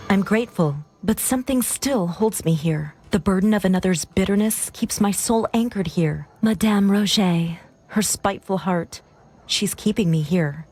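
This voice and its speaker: A woman's voice: medium pitch, normal speed, dark tone, breathy edge, soft background music. An actress voicing the mournful ghost of a young woman in a game. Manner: mournful, pleading.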